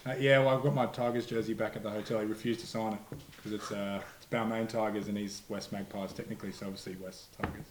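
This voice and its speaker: A man's voice: on the low side, little pitch movement, fast talker, hardly any pauses, reverberant, slight background noise, rough recording. A young Australian man, a rugby player, answering press conference questions in a laid-back, slangy, matter-of-fact way.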